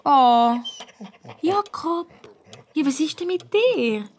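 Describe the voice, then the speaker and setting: A woman's voice: high-pitched, slow pace, a little hiss or hum. A young woman with a Swiss German accent playing an impulsive, hot-headed schoolgirl detective in radio play dialogue. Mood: outraged. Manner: blunt, excitable.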